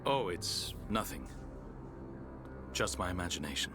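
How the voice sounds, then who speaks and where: An actor playing a steady, protective, seasoned warrior in a video game. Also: male voice, low voice, unhurried. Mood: calm, firm. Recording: loud game music behind voice.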